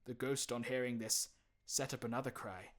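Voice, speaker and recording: A man's voice: mid-range voice, steady tone, moderate pace, a bit bright. Man narrating a classic literary audiobook.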